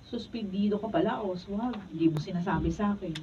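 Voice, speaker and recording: A woman's voice: mid-range voice, a little fast, distant mic, dull audio, natural voice. A woman with a Filipino accent playing the worried, scolding wife of a reckless policeman in a radio drama. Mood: worried, reproachful. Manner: scolding, pleading.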